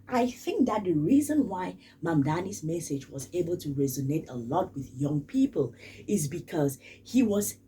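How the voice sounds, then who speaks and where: A woman's voice: on the low side, mellow, distant mic, a little hiss or hum. A woman from Cameroon, giving casual spoken commentary in an emphatic way and repeating phrases for stress. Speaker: vlogger.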